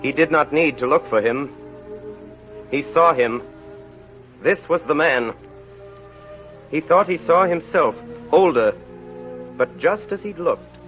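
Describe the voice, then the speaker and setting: A man's voice: a little high, lively intonation, takes it slowly, pauses often. A man playing a dignified, conscience-stricken mayor in an old radio drama, grave and theatrical in delivery. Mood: brooding, haunted. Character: dignified middle-aged mayor with a tormented conscience, solemn and earnest. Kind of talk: 1930s radio drama acting. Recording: dull old radio recording with music.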